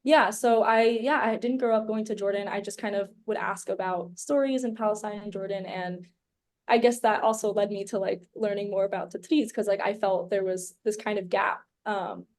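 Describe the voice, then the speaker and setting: A woman's voice: mid-range voice, fairly even intonation, normal speed. A young woman from the United States, a podcast guest, talking in a chatty, rambling way full of "like" and "um". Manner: chatty and rambling, leans heavily on "like" and "um". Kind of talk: casual conversational interview.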